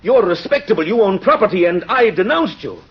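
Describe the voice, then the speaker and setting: An actor playing a stern, self-punishing police inspector in old radio drama style, formal, clipped and intense. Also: male voice, high voice, animated, a little fast, slightly rough. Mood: anguished, vehement. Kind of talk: 1930s radio drama acting. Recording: muffled vintage radio recording.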